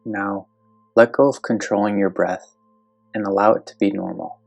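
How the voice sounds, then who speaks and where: A meditation guide speaking slowly and gently, giving calm step-by-step instructions in a guided meditation. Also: low-pitched, creaky, faint music behind.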